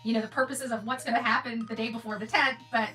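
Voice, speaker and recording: A woman's voice: on the high side, fairly even intonation, fast, bright, forceful tone. An American woman hosting an online channel, talking casually to viewers in a rambling, emphatic way that repeats phrases. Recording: distant mic, music behind.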